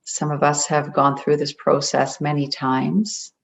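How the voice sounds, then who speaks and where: Slow, creaky-voiced guided meditation coach. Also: mid-range voice, completely flat delivery. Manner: gentle, deliberate, with long pauses. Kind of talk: guided meditation to an online group. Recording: dull, muffled lo-fi audio.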